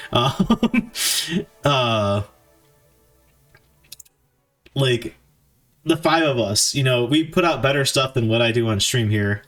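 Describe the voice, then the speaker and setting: A man chatting casually on a live stream while he codes, thinking aloud in a halting, informal, self-deprecating way. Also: medium pitch, fairly bright tone. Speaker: game developer streamer.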